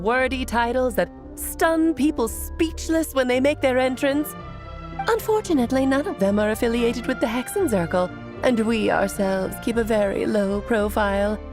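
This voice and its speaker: Vain mischievous sorceress, highish voice, somewhat lively intonation, teasing, breezy, theatrical, video game acting. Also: female voice. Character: playful, self-admiring great mage, glamorous mischievous mother.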